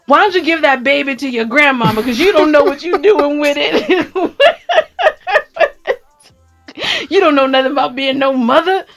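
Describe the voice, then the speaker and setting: Lady doing a fussy old grandpa, high voice, bossy folksy nagging, always griping. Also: a little fast, crowd noise. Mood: dismissive. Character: cranky, scolding old Southern-style grandfather, tight with money.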